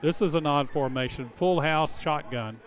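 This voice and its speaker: A man's voice: on the high side, normal speed. A man giving live analytical commentary on a football game, explaining plays in a conversational way. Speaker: color commentator.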